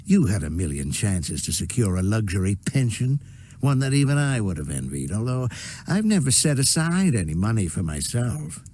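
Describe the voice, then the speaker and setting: An actor playing an arrogant, corrupt city mayor in a video game, condescending and quietly menacing. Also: male voice, lowish voice, sing-song, moderate pace. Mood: veiled threat. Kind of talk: scripted video game drama.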